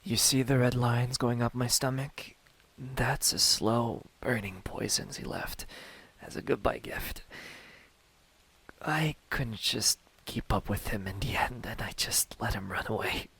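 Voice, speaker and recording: Man playing a hushed, husky, wounded demon hunter. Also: medium pitch, measured pace, full character voice. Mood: pained, resigned. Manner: hushed, breathy, sardonic. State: in pain from a wound. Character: wounded, cocky, world-weary male demon hunter. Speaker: ASMR roleplay voice actor. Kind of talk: ASMR roleplay acting.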